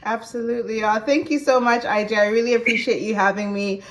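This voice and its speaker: A woman's voice: a little high, steady tone, fairly quick, a little hiss or hum. A woman in her twenties from Zambia, chatting casually as an interview guest, often saying "like" and "you know". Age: 20s. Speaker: interview guest, recruiting manager. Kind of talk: casual personal interview storytelling.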